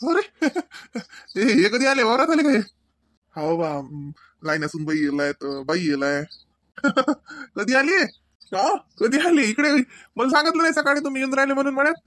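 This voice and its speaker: पुरुष कलाकाराने साकारलेला उंच आवाजाचा वऱ्हाडी तरुण शेतकरी नवरा. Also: male voice, very high-pitched, animated, normal speed, pushed and bright, no echo, clean studio sound, heavily acted. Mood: surprised, teasing. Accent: rural Maharashtra, Varhadi Marathi. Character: brisk, teasing young village farmer husband. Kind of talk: comedy cartoon dialogue.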